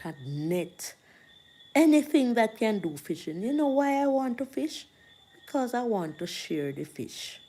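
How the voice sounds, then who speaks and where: A Jamaican woman hosting a casual livestream, chatting to viewers and reading out their comments in a slow, preachy way. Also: medium pitch, dark tone, slightly rough.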